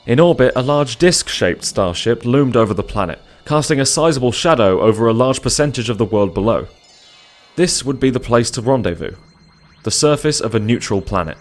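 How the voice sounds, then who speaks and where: A man's voice: medium pitch, lively intonation, fairly quick, faint music behind. A man narrating a scripted audio drama in a dramatic storytelling style.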